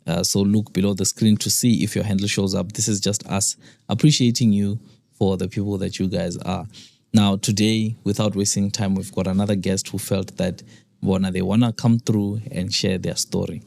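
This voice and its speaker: A very deep, gravelly man with a flu, hosting a podcast in a chatty, upbeat way. His voice sounds dry and close, with little room echo. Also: fairly even intonation, normal speed, darkish tone. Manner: upbeat, chatty promo talk with fillers. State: has a flu, deep hoarse voice. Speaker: podcast host. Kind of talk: podcast interview. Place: studio.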